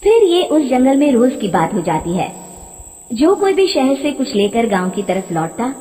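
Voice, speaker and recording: A woman's voice: a little high, big pitch swings, moderate pace, warm tone. A woman narrating a scripted story in a dramatic storytelling style. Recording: long reverb.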